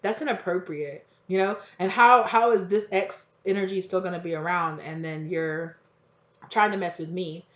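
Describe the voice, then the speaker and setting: Hoarse woman rambling through a tarot reading. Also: on the low side, steady tone, normal speed, fairly bright tone. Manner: rambling, slangy, acts out quotes. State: hoarse, nearly losing her voice. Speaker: tarot reader. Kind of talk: casual channeled tarot reading. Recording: echoey, dull lo-fi room recording.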